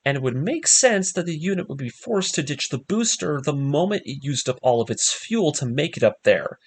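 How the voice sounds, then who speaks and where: A man hosting a video essay, narrating a scripted fan theory with emphatic, deliberate stresses on key words. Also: a little high, fairly bright tone. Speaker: host of an anime breakdown video series. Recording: music behind the voice. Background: music.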